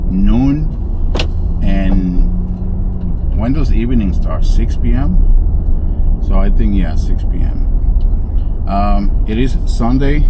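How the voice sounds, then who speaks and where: A deep-voiced man rambles through a casual vlog, full of "um"s and restarts. He walks outside holding a camera. Loud traffic roars behind his voice. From Dominican Republic. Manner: rambling, many fillers and restarts. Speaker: vlogger. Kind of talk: casual walk-and-talk vlog. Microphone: handheld camera. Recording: loud traffic noise outdoors.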